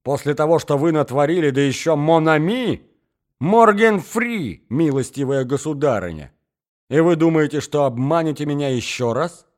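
A man voicing an indignant, wounded young gentleman in a dramatic reading of a story, with exclamatory, emphatic speech. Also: mid-range voice, animated, moderate pace, lightly acted. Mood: enraged, scornful. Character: enraged, wounded young gentleman, rejected suitor.

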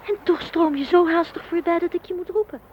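A woman's voice: very high-pitched, brisk. A woman playing a forlorn, plaintive blade of grass in a poetic radio play. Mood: pleading. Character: forlorn blade of grass, alone in a mown field. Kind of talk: Dutch radio play acting. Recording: dull, faintly noisy old radio recording.

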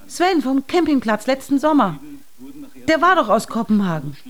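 A woman's voice: high-pitched, sing-song, normal speed. An actress in a German radio drama playing a gossipy, appalled woman with an insistent, exclamatory manner. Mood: agitated, insistent. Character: shocked, gossipy woman fixated on the television news.

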